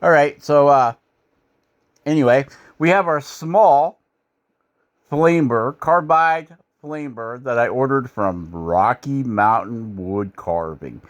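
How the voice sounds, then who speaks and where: A man's voice: mid-range voice, slow. A middle-aged man from Michigan, hosting a woodcarving channel, narrating his carving footage in a rambling, self-deprecating, folksy way with lots of "uh" fillers.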